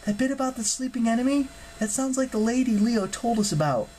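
A man voicing a spirited, bossy teenage girl adventurer in an audiobook, with a brisk and earnest manner. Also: very high-pitched, animated, a little mellow, a little hiss or hum, pitched above the performer's own voice. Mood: curious, hopeful. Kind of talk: audiobook character acting.

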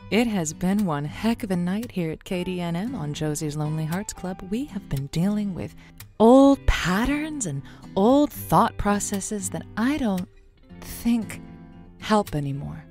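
A woman's voice: medium pitch, lively intonation, unhurried, a little mellow, soft background music. An actress playing an earnest, folksy woman who hosts a radio dating-advice show, chatty and playful. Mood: folksy showmanship. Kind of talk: audio drama acting as late-night radio host.

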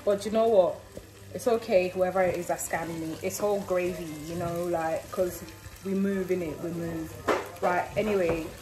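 A woman's voice: on the low side, normal speed, poor audio. Chatty young woman cooking. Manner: chatty, expressive, slangy. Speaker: cooking vlogger. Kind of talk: casual cook-along vlog chat. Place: home kitchen. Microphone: phone held in hand. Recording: music playing underneath.

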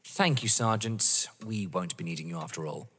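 Casting: male actor as vengeful, taunting young man, audio drama. Mocking, highly animated intonation, mid pitch, reverberant sound. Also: normal speed. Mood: smug. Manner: mocking, theatrical, toying. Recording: long reverb.

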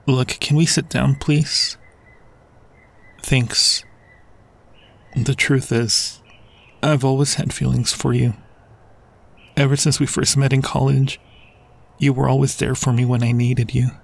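Man acting a husky, lovestruck young guy. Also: medium pitch, level tone, slow, pauses often. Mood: vulnerable, pleading. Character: lovestruck young man, anxious, devoted close friend. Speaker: ASMR roleplay performer. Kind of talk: intimate ASMR roleplay acting.

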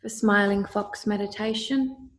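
A woman leading a guided meditation with calm, soothing, deliberate instructions. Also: mid-range voice. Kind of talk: slow guided meditation narration. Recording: roomy, echoey voice recording.

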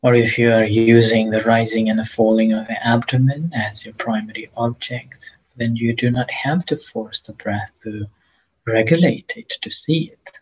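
A man leading a guided meditation as a teacher, speaking gently and haltingly with long pauses between phrases, in a husky, breathy voice. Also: medium pitch, steady tone, lo-fi sound.

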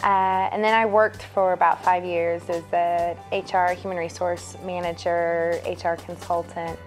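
A woman's voice: on the low side, little pitch movement, measured pace, soft background music. A woman in her twenties, an incoming law student and parent, speaking matter-of-factly in an interview. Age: 20s.

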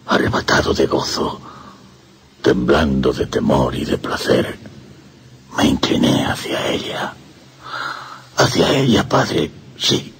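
Anguished priest obsessed with forbidden love, radio drama, super low gravelly voice, breathy whispery bits. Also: male voice, full character voice. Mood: trembling rapture. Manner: breathy, halting, drops into whispers. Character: tormented priest confessing a feverish, forbidden passion. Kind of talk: radio horror drama acting.

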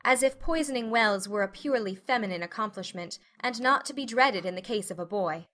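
A woman's voice: mid-range voice, fairly quick, fairly bright tone. A woman reading scripted literary narration as an audiobook narrator.

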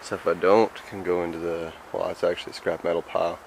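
A man's voice: low voice, level tone, quick pace, creaky. A man in his twenties with a Canadian accent, chatting casually as a vlogger in a laid-back, slangy, rambling way into a camera mic. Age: 20s. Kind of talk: casual vlog chat. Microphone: camera's built-in mic, handheld or at arm's length. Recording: noisy handheld camera audio, vehicle and ambient noise.

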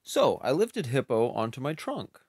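A man reading a picture book aloud, voicing an elephant telling a long story, slow and dramatic. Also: mid-range voice, very animated, darkish tone, husky. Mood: earnest. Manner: slow, dramatic storytelling with sing-song sounds. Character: gloomy elephant telling his tale.

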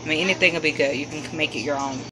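A woman with a low, easygoing voice narrates her cooking in a home kitchen, pausing with ums as she adds each ingredient. Her voice sits deep for a woman. The phone recording carries heavy background noise from the stove and room. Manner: relaxed, chatty, frequent ums while cooking. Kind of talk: casual cook-along narration.

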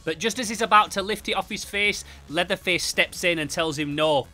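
A man reviewing a comic book in an animated, sweary storytelling style. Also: high-pitched, a little fast, soft background music. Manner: excitable, foul-mouthed, makes sound effects.

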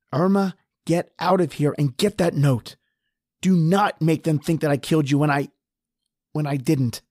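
A man's voice: on the high side, a little mellow, dry recording, clean studio sound. A man playing a desperate, injured husband trapped underground in a horror radio drama. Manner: pleading, ragged, then defiant.

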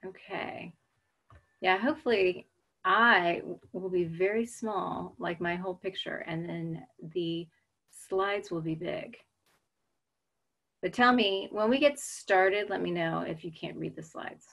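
A woman's voice: lowish voice, takes it slowly, vocal fry, a bit bright. Chatty American woman on a webcam. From United States. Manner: chatty, reads out audience answers. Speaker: webinar presenter. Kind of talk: casual chat while waiting to start. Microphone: video call webcam.

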